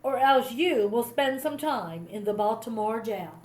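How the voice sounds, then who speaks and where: Woman reading as a bold, high-voiced gentleman doctor. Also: animated, unhurried, reverberant. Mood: triumphant.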